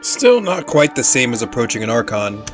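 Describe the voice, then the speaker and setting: A man's voice: medium pitch, big pitch swings, normal speed. A young man giving casual gameplay commentary, chatty and slangy, speaking straight to viewers. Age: young adult. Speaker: streamer reacting to a game. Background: faint game music.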